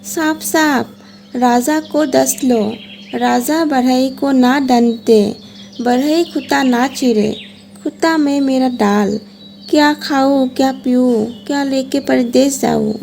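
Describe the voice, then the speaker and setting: A woman acts out a small, hungry female bird from a children's cartoon tale. The voice is high and slightly creaky, chanting pleas in a slow sing-song rhyme. Faint noise sits behind it. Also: darkish tone, exaggerated voice. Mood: pleading. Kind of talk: children's cartoon moral story.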